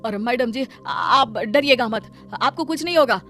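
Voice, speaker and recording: A woman's voice: fairly high pitch, normal speed, fairly bright tone, soft background music, natural voice. Woman doing a poor working man, earnest, pleading, protective, cartoon storybook. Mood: reassuring. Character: poor male laborer, worried, caring husband. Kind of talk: Hindi cartoon voice acting.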